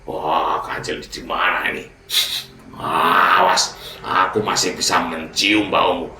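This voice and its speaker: A man's voice: sits low, fairly even intonation, takes it slowly, creaky, sharp tone, echoey room, far from the mic, heavily acted. A man voicing a hungry, gullible, angry tiger in a children's fable, blustering and threatening. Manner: blustering, threatening, easily fooled.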